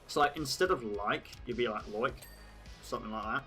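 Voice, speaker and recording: A young British man with a bright, forceful voice and a hint of Australian in some words chats loosely with his livestream viewers. He rambles, laughs at his own jokes and thinks aloud. Soft music plays faintly behind him. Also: mid-range voice, normal speed. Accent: British, with occasional Australian words. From Britain. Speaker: livestream host. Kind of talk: casual chat with viewers.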